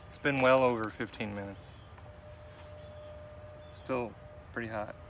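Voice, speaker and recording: A man's voice: mid-range voice, steady tone, unhurried, fairly bright tone. A man in his forties cooking outdoors in autumn woods, chatting casually and thinking aloud with fillers and self-deprecating asides. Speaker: outdoor vlogger. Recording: outdoor recording with clear background noise.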